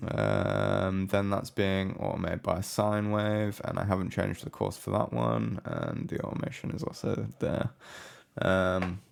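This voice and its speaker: A man giving a casual, unscripted software walkthrough as a tutorial presenter, low-key and hesitant in manner. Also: expressive, unhurried, creaky. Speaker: music production tutorial presenter.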